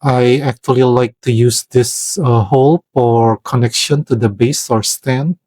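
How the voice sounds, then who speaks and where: A man talks through a figure review at an ordinary, middle pitch. He speaks with care and often pauses to find the right word. The recording is dry, with no room sound. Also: steady tone, darkish tone, no echo. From Philippines. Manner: hesitant, searching for words, careful. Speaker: toy reviewer. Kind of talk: product review voice-over.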